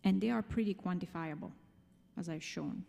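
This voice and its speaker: A woman's voice: on the low side, normal speed, vocal fry, dark tone. A woman with an Italian accent giving an explanatory, emphatic conference presentation, often checking in with rhetorical questions. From Italy. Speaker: conference speaker. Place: large conference room, speaking to an audience. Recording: long hall reverb.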